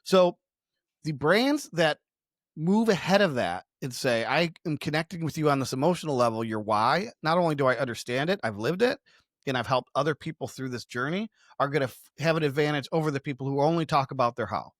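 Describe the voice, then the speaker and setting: A man's voice: a little high, expressive, brisk, dry recording, studio quality. A man explaining ideas conversationally as a business podcast co-host, emphatic and slipping into imagined customer quotes.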